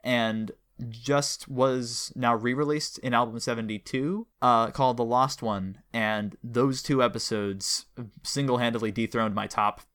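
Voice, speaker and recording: A man's voice: medium pitch, steady tone, measured pace, a bit bright, smooth. A man hosting a casual, enthusiastic fan interview, talking eagerly and wordily and often correcting himself mid-sentence. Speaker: host interviewing a guest; audio drama producer and writer.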